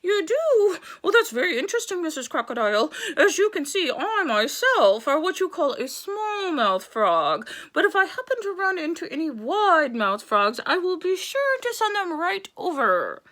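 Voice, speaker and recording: A woman voicing a chatty young male frog who turns nervous, in a high squeaky excited voice for a storybook. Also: normal speed, hardly any pauses, full character voice.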